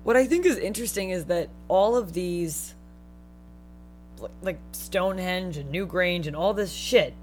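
A woman's voice: on the low side, unhurried, soft background music. A woman co-hosting a casual podcast. She reads researched notes aloud, jokes between facts, and swears casually. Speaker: podcast co-host.

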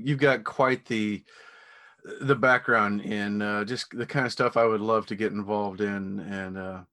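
A man's voice: fairly low pitch, a bit bright. A man hosting a casual podcast interview in an enthusiastic, affirming manner. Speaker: podcast host.

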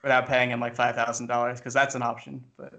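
A man's voice: medium pitch, level tone, fast talker, vocal fry, bright, forceful tone. Casual male podcast host. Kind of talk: casual chat. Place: home kitchen.

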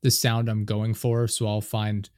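Low-voiced male podcast host, slightly nasal. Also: clean tone, no room sound, studio quality. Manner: talks fast when excited and trips over his words. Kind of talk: casual interview chat. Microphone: studio dynamic microphone.

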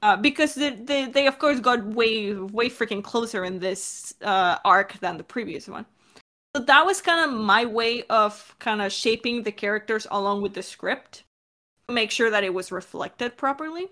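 A woman's voice: a little high, normal speed, bright, forceful tone. A woman speaking casually as a director in a creator Q&A. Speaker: audio drama director.

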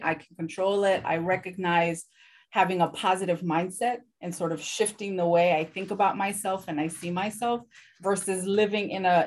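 A woman guest in an interview, speaking earnestly and reflectively about her self-doubt. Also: fairly low pitch. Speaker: guest, community engagement director. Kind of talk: candid interview answers.

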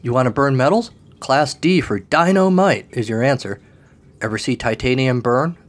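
Guy doing a pushy over the top fire salesman creature, high and theatrical. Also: normal speed, lightly acted. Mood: theatrical salesy. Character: oily grandiose male fire salesman creature.

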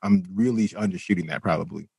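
A man's voice: deep voice, fast, creaky, a little mellow, husky, dry recording, studio quality. A man talking casually about movies as a podcast co-host, rambling along and swearing freely. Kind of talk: casual movie discussion among friends.

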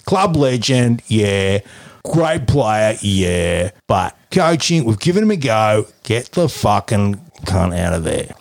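A man's voice: lowish voice, very animated, slow, gravelly, dry recording, clean studio sound, heavily acted. A man with an Australian accent playing a middle-aged, boastful, foul-mouthed tradie dad who rambles with fillers and swears constantly. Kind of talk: comedy podcast character interview.

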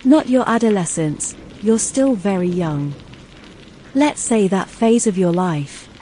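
Name: Antonia. Figure: soft, consoling young woman, voiced by a male narrator. Tone: mellow, high. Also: expressive, slow pace, a little hiss or hum, poor audio. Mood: tender. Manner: soft, tender, soothing. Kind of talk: audiobook character voice.

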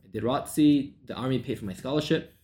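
A man's voice: medium pitch, brisk, dark tone. A man in his thirties from Malaysia, a sales coach guest recounting his story in long run-on sentences with fillers. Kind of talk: podcast interview.

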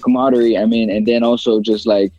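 A man's voice: fairly low pitch, little pitch movement, quick pace, dark tone, lo-fi sound, soft background music. A young man from China, a rapper as interview guest, talking casually in a rambling way with many fillers and restarts.

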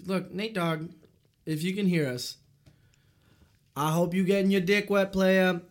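A man's voice: high voice, fairly even intonation, measured pace. A man guesting on a podcast, joking and rambling in casual banter. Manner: joking, rambling, prone to awkward jokes.

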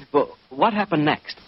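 A man's voice: a little high, husky, muffled recording. A man announcing and chatting as the presenter of a 1940s radio drama, on an old recording.

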